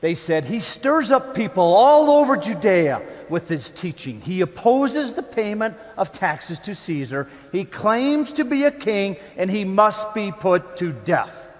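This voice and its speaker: A man playing a troubled, self-justifying Roman governor in a church dramatic monologue, speaking emphatically and deliberately. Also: high-pitched, heavily acted. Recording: echoey church hall with long reverb.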